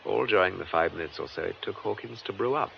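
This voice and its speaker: An actor playing a composed, shrewd British gentleman detective in a radio drama. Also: sits low, quick pace, vocal fry, highs cut off, slight background noise. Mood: brisk, reasoning.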